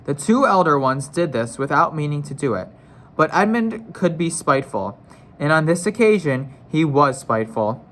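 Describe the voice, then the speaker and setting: A man's voice: on the high side, big pitch swings, moderate pace, fairly bright tone. A man narrating a children's storybook as a read-aloud, careful, with the odd stumble and re-read phrase. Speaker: story reader.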